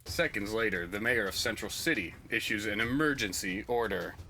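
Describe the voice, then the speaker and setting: A man's voice: fairly low pitch, animated, normal speed, bright, forceful tone. A man delivering scripted comic-book narration in a theatrical, ominous way. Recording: music behind the voice. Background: background music.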